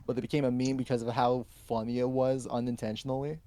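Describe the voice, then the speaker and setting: A man's voice: medium pitch, level tone, fast, warm tone, no room sound. A man chatting casually as co-host of a group stream. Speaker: co-host of a fan fiction reading stream.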